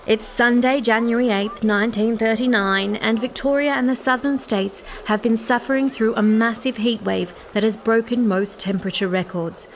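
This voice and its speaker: A woman narrating and playing a radio reporter, clear and announcing. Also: fairly high pitch, steady tone, moderate pace, hardly any pauses, a little hiss or hum, lightly acted.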